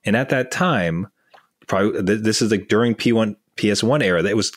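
A Canadian man with a fairly low voice, chatting on a gaming podcast. He tells stories with energy and slips into voices for the people in them. His accent comes through on certain words. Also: brisk, no room sound, studio quality. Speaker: podcast co-host. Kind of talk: casual chat.

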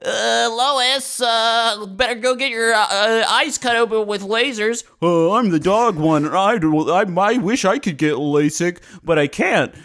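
A man's voice: high-pitched, a little slow, hardly any pauses, a bit bright, pitched above the performer's own voice. A man voicing a dim, cheery, crude cartoon family dad. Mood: goofy.

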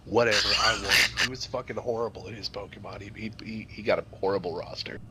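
A man's voice: on the low side, fairly even intonation, fast talker, sharp tone, a little hiss or hum. A man improvising a crude comic story to a group, swearing freely and rambling in a mock-serious way. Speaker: storyteller, host.

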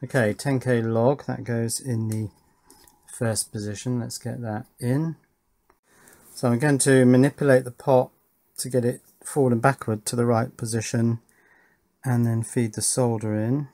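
A man's voice: mid-range voice, little pitch movement, unhurried, dark tone. A man giving casual hands-on commentary as an electronics hobbyist, thinking aloud while he works. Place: indoors at a workbench.

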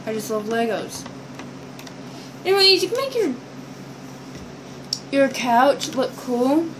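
A woman's voice: high-pitched, big pitch swings, takes it slowly. A young teenage girl chatting casually and ramblingly to the camera while showing toys, recorded on a built-in device mic in a bedroom. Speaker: vlogger showing toy builds. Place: small bedroom, indoors. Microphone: built-in device mic at arm's length. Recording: noisy amateur webcam audio.

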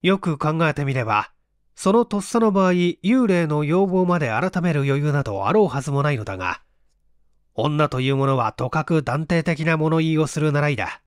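A male audiobook reader delivers a suspenseful story in measured, theatrical phrases. His pitch moves widely, and the recording is close and dry. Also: expressive, clean tone, no echo. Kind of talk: scripted dramatic narration of a mystery novel.

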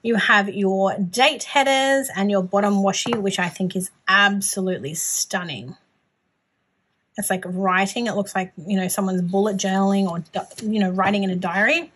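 A woman's voice: mid-range voice, a bit bright, husky, low-quality recording. Chatty, gushing Australian woman. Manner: gushing, informal. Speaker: planner haul vlogger. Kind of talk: casual chatty product show-and-tell.

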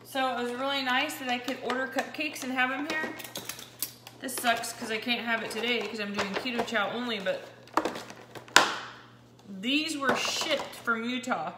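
A woman's voice: a little high, normal speed, pauses often. Chatty woman in an echoey kitchen. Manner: chatty, excitable, talks while handling a meter. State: slightly scratchy voice. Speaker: keto vlogger. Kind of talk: casual chat to camera. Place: kitchen at home, indoors. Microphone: camera pointed down at the countertop. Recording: echoey kitchen with clear background noise.

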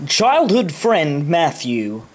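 An actor playing a pompous current-affairs TV presenter with a dramatic, overly serious delivery. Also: male voice, a little high, sing-song, drawn-out words, slight background noise, heavily acted. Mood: solemn.

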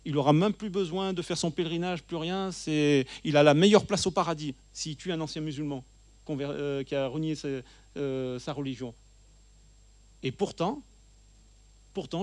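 A man's voice: a little high, moderate pace, a little mellow, no echo. A middle-aged man speaking to a church audience while seated with a handheld microphone, earnest and emphatic, often repeating phrases for stress. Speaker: guest speaker. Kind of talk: church teaching talk. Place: church hall, seated at a table. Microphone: handheld mic.

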